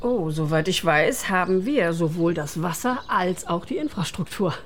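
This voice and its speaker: A woman's voice: fairly low pitch, lively intonation, moderate pace, a little hiss or hum, natural voice. Woman as a polite middle-aged mayor. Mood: cool, sceptical. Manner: polite, official, exasperated with her son. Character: diplomatic female mayor, embarrassed mother of a teenage son. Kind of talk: naturalistic radio drama acting.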